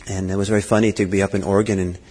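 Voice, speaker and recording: Low husky man in a reverberant hall. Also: level tone, a little mellow. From Norway. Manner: thoughtful, halting, many fillers and restarts. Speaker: Buddhist teacher. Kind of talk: dharma talk.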